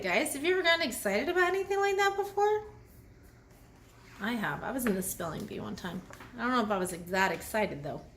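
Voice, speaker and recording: Animated woman reading to young children. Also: fairly high pitch, a little hiss or hum. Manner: addresses young children directly with questions and commentary. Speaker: children's read-aloud host and teacher. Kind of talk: picture book read aloud with phonics asides.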